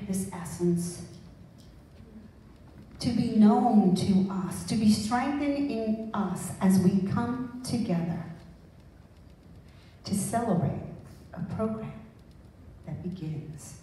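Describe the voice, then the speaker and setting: A middle-aged woman minister leading a spiritual invocation in short, deliberate, emphatic phrases. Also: mid-range voice, warm tone. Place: small church sanctuary. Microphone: handheld microphone, filmed from audience. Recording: echoey hall, distant mic, faint noise.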